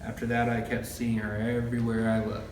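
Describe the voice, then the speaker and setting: A man's voice: mid-range voice, monotone, fairly quick, darkish tone, lightly acted. A man playing an obsessive, deluded young student on trial, with casual slangy college speech, in an amateur student film. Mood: defensive. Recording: echoey, distant mic, clear background noise.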